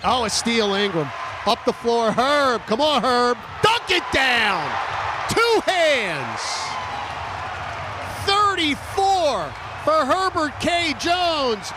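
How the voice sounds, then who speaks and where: A man calling basketball play-by-play in excited, clipped live commentary. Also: extremely high pitch, takes it slowly, over music.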